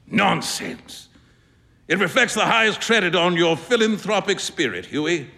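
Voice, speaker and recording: A man's voice: high-pitched, animated, unhurried, creaky, pitched above the performer's own voice, heavily acted. A man doing a hearty, laughing painter. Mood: amused. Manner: bright, hearty, amused. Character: jovial teasing male painter, laughing. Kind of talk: stage reading of a story. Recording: reverberant live hall.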